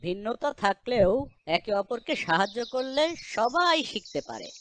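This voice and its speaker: A woman's voice: on the high side, big pitch swings, normal speed, a bit bright. Woman as a didactic cartoon animal schoolteacher. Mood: moralising. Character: instructive animal schoolteacher of the jungle.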